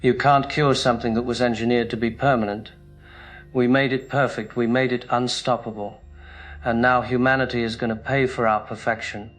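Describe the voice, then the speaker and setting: An actor playing a guilt-ridden scientist, recording a bleak personal log in short clipped sentences over music. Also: male voice, medium pitch, flat delivery, normal speed, fairly bright tone, natural voice. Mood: despairing. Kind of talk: audio drama monologue, diary narration.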